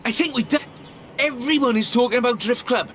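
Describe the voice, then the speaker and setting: A man's voice: very high voice, fairly quick. An actor playing an enthusiastic, posh British drift club man in a video game, with a theatrical, genteel way of talking. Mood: excited. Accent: British English. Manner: effusive, theatrical, genteel wording. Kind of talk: video game character dialogue. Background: music and car sounds.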